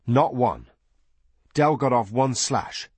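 A man's voice: medium pitch, expressive, slow, husky, no echo, pitched above the performer's own voice. A man voicing eager, squabbling young boys with childlike, unschooled speech in an audiobook story. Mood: earnest, excited. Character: eager, squabbling young boys, twin brothers, bloodthirsty and righteous.

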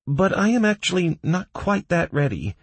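Man doing an anxious, high-pitched grumbling doctor. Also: lively intonation, slow pace, slightly rough, dry recording, heavily acted. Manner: nervous, fretful, grumbling. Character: anxious, grumpy male doctor in a fantasy adventure band.

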